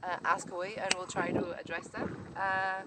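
A woman co-hosting a sailing vlog outdoors on a boat deck, chatting to camera in a friendly, slightly halting way. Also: fairly low pitch, flat delivery. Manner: friendly, a little halting, laughs easily. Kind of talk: casual chat to camera. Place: outdoors on a sailboat deck on a river. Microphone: camera mic from a few feet away. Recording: loud wind noise outdoors.

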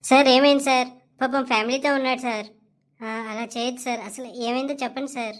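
A woman's voice: high-pitched, steady tone, quick pace, lightly acted. A woman voicing a meek, good-natured young male office manager in a comedy cartoon, hesitant and deferential in the way of talking. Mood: alarmed, pleading. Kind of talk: Telugu comedy cartoon dialogue.

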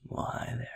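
A man playing a sweet, easygoing young werewolf boyfriend in an intimate audio roleplay, speaking softly and haltingly in a gravelly, breathy voice. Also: dry recording. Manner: soft, breathy, halting and affectionate.

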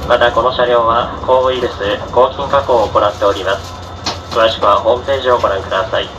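Dull-sounding male train conductor over loud rumble. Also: steady tone, a little fast. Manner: polite, formulaic delivery. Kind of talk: formal train announcement. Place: train PA heard inside a moving carriage. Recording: dull onboard speakers with loud train noise.

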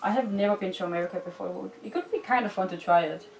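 A woman's voice: medium pitch, fast, vocal fry. A young woman from Denmark with a Danish accent, chatting in a bubbly, giggly, rambling way while decorating her bedroom, filmed by a camera across the room. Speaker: vlogger. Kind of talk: casual chat while decorating. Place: bedroom, indoors. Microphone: far camera. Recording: roomy, distant, with music faint behind.

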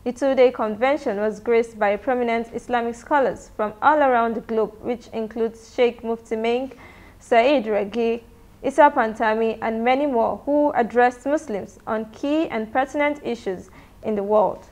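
High-voiced woman reading news. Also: moderate pace, darkish tone. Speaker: news reporter. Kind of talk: scripted narration.

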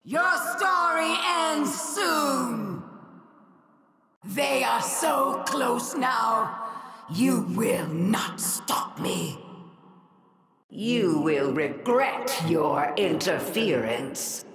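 An actress voicing an imperious, vengeful, ancient alien villain in a video game, speaking with grandiose, contemptuous theatrical menace. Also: female voice, fairly high pitch, expressive, very slow, a crowd in the background, heavily acted. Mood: vengeful threat. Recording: echoing game voice processing.

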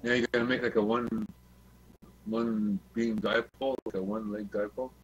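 A man's voice: fairly low pitch, flat delivery, normal speed, husky, muffled recording. A man chatting casually as a CB radio hobbyist, telling stories in a repetitive, sweary way on an online group call. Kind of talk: casual chat. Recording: noisy online voice chat with hum.

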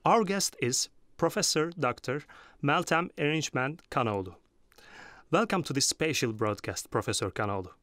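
A man's voice: mid-range voice, expressive, moderate pace, a bit bright, dry recording. A man with a Turkish accent presenting and interviewing as a radio host from a script.

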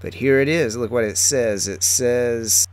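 A man's voice: medium pitch, a little slow, no echo. A middle-aged man hosting a travel vlog on location, speaking enthusiastically and directly to his viewers. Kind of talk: on-location narration to camera about music history. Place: outdoors at a small-town baseball field. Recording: outdoor ambient noise, passing vehicles.

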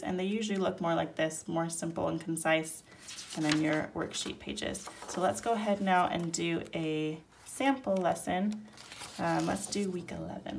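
A woman with a slightly low voice sounds stuffed-up and nasal from a cold as she talks through material at her table. Her delivery is relaxed and chatty, with a faint hiss behind her. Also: creaky. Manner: relaxed, chatty, explaining step by step. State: sick, nasal. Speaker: homeschool curriculum reviewer. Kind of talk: casual product flip-through. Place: indoors at a table, hands-only overhead camera.

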